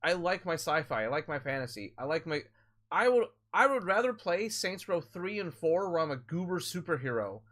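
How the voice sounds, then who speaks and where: A man live-streaming a game, with a voice a little higher than most men's. He explains tech questions to viewers in a loose, rambling way, often restarting mid-sentence and stacking fillers. His tone is laid-back and chatty. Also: sing-song, moderate pace, a bit bright. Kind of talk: casual live-stream chat answering viewers.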